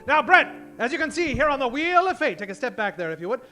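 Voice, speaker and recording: A man's voice: extremely high pitch, sing-song, fast talker, bright, forceful tone. A man hosting a live comedy show from a theater stage, talking like a theatrical old-time 1940s radio emcee and projecting to the audience.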